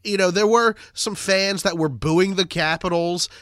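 Congested high-voiced male podcast host. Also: a little slow, fairly bright tone, no echo, studio quality. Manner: chatty, rambling, self-deprecating. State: nasal and congested from a cold. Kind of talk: casual sports podcast chat.

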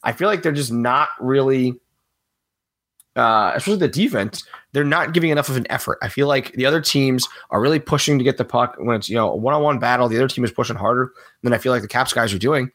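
A man co-hosting a sports podcast while he has a cold, sounding a little raspy, talking in a rambling, opinionated way and laughing often. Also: mid-range voice, quick pace, a bit bright. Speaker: regular podcast co-host. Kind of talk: casual sports podcast chat.

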